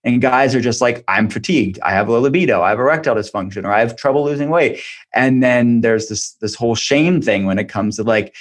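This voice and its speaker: A man's voice: medium pitch, a little fast. Man explaining in casual conversational style. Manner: informal, explanatory, peppered with "like". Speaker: functional medicine doctor, podcast guest. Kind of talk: conversational podcast interview, explaining.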